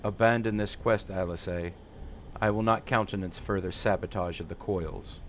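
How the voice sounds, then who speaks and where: A man's voice: on the low side, steady tone, normal speed, slight background noise, lightly acted. A man voicing a stern, threatening old grandfather in a fantasy game, formal and commanding. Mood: cold, threatening.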